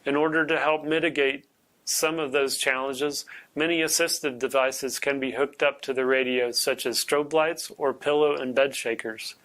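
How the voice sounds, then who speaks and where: A man's voice: medium pitch, fairly bright tone. A man presenting scripted public safety information as a weather service technician.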